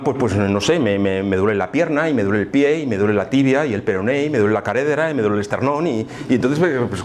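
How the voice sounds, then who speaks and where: A man with an accent from Spain gives an informal lecture, speaking conversationally and haltingly, often repeating words and adding rhetorical tags. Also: medium pitch, darkish tone. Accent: Spanish, from Spain. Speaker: lecturer at a spiritist association. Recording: live talk with faint background room noise.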